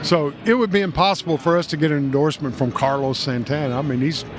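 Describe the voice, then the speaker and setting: A man's voice: fairly high pitch, fast, over music. An older man with a Kentucky accent, talking in a rambling, enthusiastic way as a guest at a trade show. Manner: folksy, rambling, enthusiastic salesman. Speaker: guest, music store owner. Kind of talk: casual trade-show interview.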